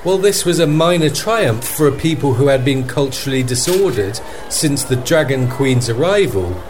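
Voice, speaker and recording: A man's voice: mid-range voice, animated, normal speed. A man acting as a field correspondent, giving a scripted news report in an earnest, formal manner. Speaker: podcast host as field correspondent. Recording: faint background noise and ambience.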